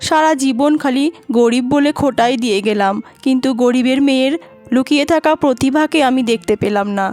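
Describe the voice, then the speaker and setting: A woman's voice: sits high, a little fast, darkish tone, close to an everyday voice. A woman playing an anxious, scolding older mother-in-law in a melodramatic cartoon, with dramatic, lamenting delivery. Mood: remorseful. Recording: music behind the voice.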